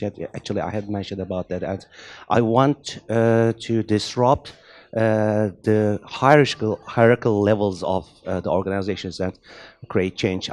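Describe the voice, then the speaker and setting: Low-voiced agile coach. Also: male voice, darkish tone. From Turkey. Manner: earnest, searching for words.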